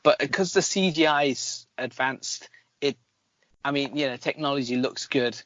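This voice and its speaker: A man's voice: mid-range voice, moderate pace, fairly bright tone, dry recording, muffled recording. A man reviewing films in casual chat as a podcast host, with an opinionated, critical manner.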